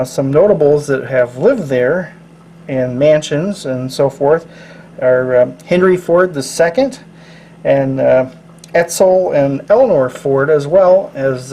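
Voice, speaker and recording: A man hosting his channel, explaining things casually in a halting, low-key, rambling way. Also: a little mellow. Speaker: channel host. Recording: noticeable background noise.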